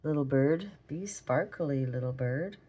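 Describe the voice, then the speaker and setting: A hoarse, raspy woman with a Minnesotan accent, chatting casually as she draws in an art tutorial vlog. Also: a bass voice, expressive, a little slow, mellow. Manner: chatty, rambling, talks while drawing. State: hoarse from a cold. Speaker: art vlogger. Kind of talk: relaxed drawing tutorial chat.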